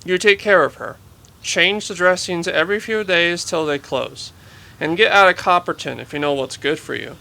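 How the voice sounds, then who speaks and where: A man playing a plain-spoken frontier animal healer, caring but stern, with a creaky voice in a western radio drama. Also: high-pitched, normal speed, sharp tone, heavily acted. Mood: kindly, warning. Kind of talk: old-time radio western acting.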